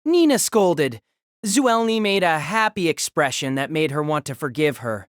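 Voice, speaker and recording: Female narrator for novel audio drama. Lively, varied intonation, dramatic storytelling, dry close sound. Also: low voice, normal speed, no echo.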